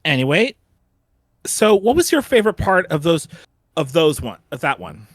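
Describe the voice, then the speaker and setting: A man's voice: fairly high pitch, sing-song, moderate pace, dry recording. A man hosting a casual fan retrospective panel, often repeating and restarting his phrases.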